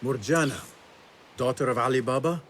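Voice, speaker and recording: An actor playing a calm, earnest young assassin in a video game cutscene. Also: male voice, medium pitch, moderate pace, a little hiss or hum, natural voice. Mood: alert, questioning. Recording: loud music behind the voice.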